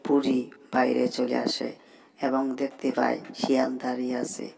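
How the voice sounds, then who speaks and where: A storybook narrator with a very flat, creaky delivery, reading scripted cartoon narration. Noise and clucking sit behind the voice. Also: medium pitch, moderate pace.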